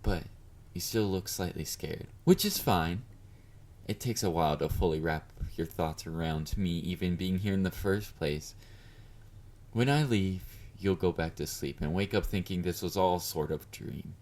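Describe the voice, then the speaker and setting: A man's voice: deep voice, a little slow, darkish tone. A man playing a confident, obsessive, flirty yandere fan in an intimate audio roleplay, teasing and smug as he speaks to his beloved. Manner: teasing, smug, mock-considerate, murmured endearments.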